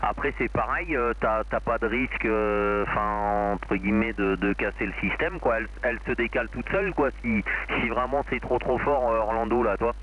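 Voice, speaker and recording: A man's voice: fairly low pitch, moderate pace, few pauses. Male radio hobbyist heard through a muffled, faintly noisy transmission, hesitant everyday chat with roundabout explanations. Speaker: amateur radio operator. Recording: dull, noisy radio reception.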